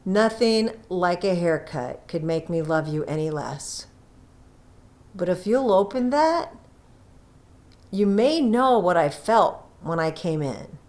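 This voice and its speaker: Woman reading as a measured, earnest young husband. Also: lowish voice, animated, darkish tone. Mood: tender reassurance. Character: gentle, earnest young husband, tender and calm. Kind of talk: storybook character reading.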